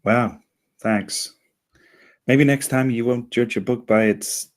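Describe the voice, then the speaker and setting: Man as sarcastic first mate, medium pitch, exasperated, complaining, comedy table read. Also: steady tone, slow, close to an everyday voice. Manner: dry, complaining.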